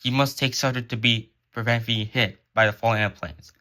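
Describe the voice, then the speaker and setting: A man's voice: medium pitch, fairly even intonation, fairly quick, pushed and bright. A man reading scripted emergency alert narration in a formal, solemn announcer manner.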